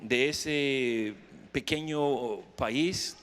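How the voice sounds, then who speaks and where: A man's voice: medium pitch, extremely slow, fairly bright tone, smooth. A middle-aged or older man with a Costa Rican accent preaching emphatically, putting questions to his congregation and repeating phrases. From Costa Rica. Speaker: pastor preaching. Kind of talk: sermon with anecdotes and questions to the congregation. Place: camp meeting hall with congregation. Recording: reverberant hall, long echo.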